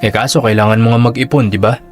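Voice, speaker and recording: A man voicing a young younger brother with a mid-pitched voice, persistent and practical, who coaxes his older sibling again and again. This is a radio-drama scene with faint music playing. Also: fairly even intonation, fast, natural voice. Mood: coaxing. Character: younger brother working abroad in a call center.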